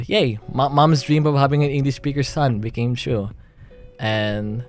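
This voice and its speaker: A man's voice: medium pitch, a little mellow. A young man from the Philippines with a Filipino accent, talking on a livestream in a rambling way, with many restarts and nervous laughs. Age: young adult. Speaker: streamer. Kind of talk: emotional livestream monologue.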